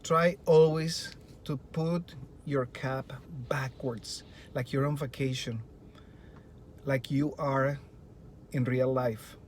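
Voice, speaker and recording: Colombian guy teaching spanish, talks slow n emphatic to other teachers, lots of rhetorical questions. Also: medium pitch, fairly even intonation, slight background noise. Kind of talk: presentation to teachers.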